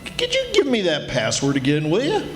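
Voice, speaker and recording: A man's voice: fairly high pitch, huge pitch swings, reverberant. An actor playing a pompous, easily huffed neighbor in 1940s-style radio comedy, drawing out his words theatrically. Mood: sheepish. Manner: pompous, drawn-out, theatrical swoops.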